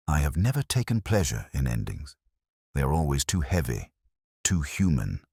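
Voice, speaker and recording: A man reading a scripted first-person mystery story in a measured, solemn, literary manner. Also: extremely low pitch, dry recording, clean studio sound. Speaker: audio drama narrator.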